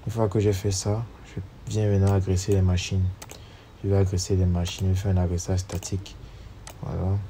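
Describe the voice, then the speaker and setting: A man's voice: deep voice, level tone, a little fast, noisy background. A man with a cold narrating a step-by-step screen tutorial, reading each command and number aloud as he types. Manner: steps through commands aloud, spelling out numbers. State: has a cold. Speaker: networking instructor. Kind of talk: screen-recorded tutorial walkthrough.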